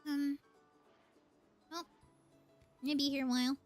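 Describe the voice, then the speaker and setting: High-voiced woman chatting while gaming. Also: flat delivery, moderate pace, soft background music. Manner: chatty, thinking aloud, giggly. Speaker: live streamer playing a video game. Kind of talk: casual live game commentary.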